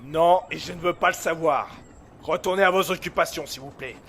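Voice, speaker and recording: An actor playing a stern, authoritarian ship's captain who barks clipped orders in an audio drama, gravelly and sharp. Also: male voice, fairly high pitch, fairly quick, a little hiss or hum. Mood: curt.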